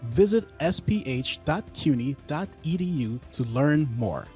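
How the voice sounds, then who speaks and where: A man reading a scripted promotional ad as announcer, in a smooth, polished delivery. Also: a little high, expressive, unhurried, darkish tone. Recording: voice-over with faint music behind.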